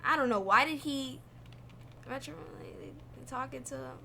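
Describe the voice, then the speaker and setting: A woman's voice: high-pitched, moderate pace, vocal fry, pushed and bright, a little hiss or hum. A woman streaming games, chatting informally and muttering as she thinks aloud in reaction to what she watches. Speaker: game streamer. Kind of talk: casual stream chat, reacting to a recap video.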